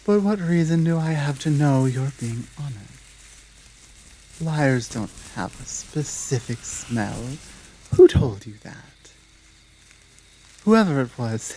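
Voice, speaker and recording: A woman playing a dominant, menacing female werewolf pack leader in a slow roleplay ASMR drama, speaking deliberately and with taunting command. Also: rumbling low, lively intonation, dark tone, slight background noise, heavily acted, poor audio. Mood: taunting, firm.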